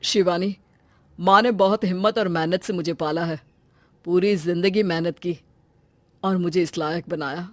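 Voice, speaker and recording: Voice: medium pitch, steady tone, a little slow, long pauses, a bit bright, no echo, close to an everyday voice. An actor playing a devoted, easygoing young husband and son in a cartoon family drama. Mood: earnest.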